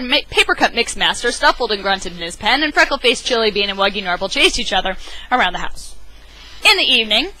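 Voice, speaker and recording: A woman's voice: medium pitch, pushed and bright, poor audio. A woman reading a children's story aloud in a dramatic narrating style. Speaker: storybook reader. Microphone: webcam.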